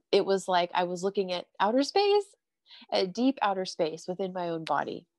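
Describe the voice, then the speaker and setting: Woman, stuffy nose, low-ish voice, dry close sound, breezy upbeat chat host, video call. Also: a little mellow, no room sound. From California. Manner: breezy, upbeat, heavy on "you know" and "if you will". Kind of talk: casual spiritual chat.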